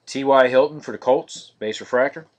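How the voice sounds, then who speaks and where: Male card-break host, mid pitch, flat steady delivery, live-stream feel. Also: moderate pace. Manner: monotonous, steady listing of cards.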